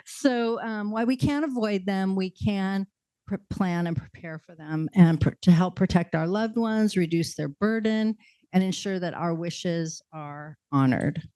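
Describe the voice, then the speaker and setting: A woman's voice: medium pitch, measured pace, mellow, dry recording. A woman hosting and moderating a panel, chatty and correcting herself along the way. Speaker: event host, moderator.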